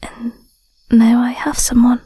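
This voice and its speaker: A woman voicing an affectionate, bashful young Scottish werewolf girlfriend in an intimate audio roleplay, soft, whispery and a little hesitant, close on the mic. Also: a little high, completely flat delivery, unhurried, husky, no room sound. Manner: hesitant, stammering, murmuring endearments. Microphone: close microphone.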